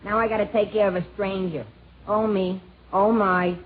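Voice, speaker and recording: A man's voice: high voice, level tone, normal speed, narrow, tinny audio, close to an everyday voice. A man playing a childish, lovesick henpecked husband with a plaintive, naive whine in a 1950s radio comedy sketch. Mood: self-pitying, pleading. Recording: noisy band-limited 1950 radio, loud audience.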